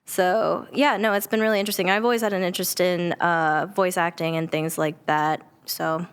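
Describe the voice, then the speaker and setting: A woman's voice: lowish voice, fairly even intonation, moderate pace, smooth. A woman who is a theatre actor, chatting casually as an interview guest, informal and peppering her talk with "like". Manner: informal, hedging with frequent "like". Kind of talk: casual chat.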